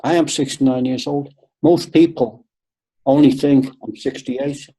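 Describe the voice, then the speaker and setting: A man's voice: medium pitch, fairly even intonation, measured pace, mellow, slight background noise, poor audio. A man in his sixties, a guest on a webinar video call, talking earnestly and repeating points for emphasis. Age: 60s. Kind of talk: webinar conversation.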